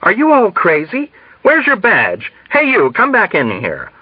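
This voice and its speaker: A man's voice: high-pitched, huge pitch swings, a little slow, breathy edge, no room sound, dull audio, voice pushed up, heavily acted. A man reading a novel as an imperious, blustering male army colonel, barking and indignant. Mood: indignant, alarmed.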